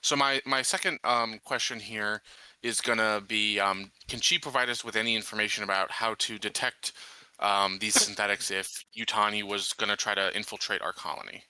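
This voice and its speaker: A man with a strikingly bright, forceful voice at a fairly low pitch plays a tabletop roleplaying game. He puts questions carefully, with some hesitation, on a dry close mic. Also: steady tone, moderate pace, clear, resonant tone, dry recording, poor audio.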